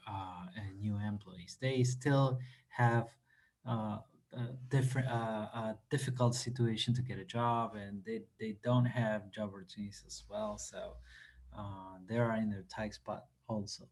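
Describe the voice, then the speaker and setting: A man from Argentina, a native Spanish speaker, answering interview questions over a video call, pausing often with fillers and restarts. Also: medium pitch, a little mellow. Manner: halting, many fillers and restarts. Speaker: company representative guest.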